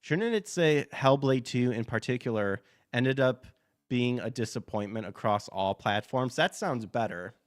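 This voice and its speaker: A hoarse man hosting a gaming podcast, reading news articles aloud and breaking into blunt, profane asides full of fillers. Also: medium pitch, measured pace. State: hoarse from allergies. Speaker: podcast host.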